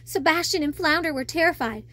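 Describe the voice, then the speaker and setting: A woman's voice: high-pitched, brisk, sharp tone. A woman reading a storybook expressively to children as a storyteller.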